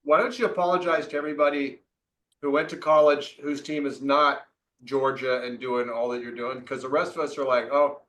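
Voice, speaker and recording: A man's voice: mid-range voice, steady tone, brisk, fairly bright tone, slight background noise, poor audio. A man appearing as a company executive guest in an interview, joking and teasing the host. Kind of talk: casual interview talk.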